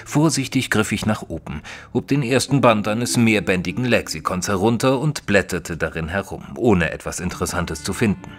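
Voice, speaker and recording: An actor playing an earnest detective's companion, narrating an audio drama in a measured, literary way. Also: male voice, on the low side, lively intonation. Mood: measured, curious.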